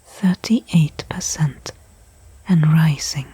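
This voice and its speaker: A woman playing a weary stranded astronaut in a whispered ASMR sci-fi roleplay, breathy and hushed, thinking aloud with pauses between thoughts. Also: low voice, no echo, exaggerated voice, poor audio. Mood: relieved. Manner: slow, soliloquizing, pausing between thoughts. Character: weary stranded female astronaut, anxious, faintly hopeful.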